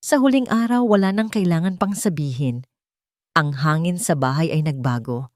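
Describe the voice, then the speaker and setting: A woman narrating a scripted sentimental drama story in a measured, dramatic storytelling manner. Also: low-pitched, a little mellow, dry recording, clean studio sound.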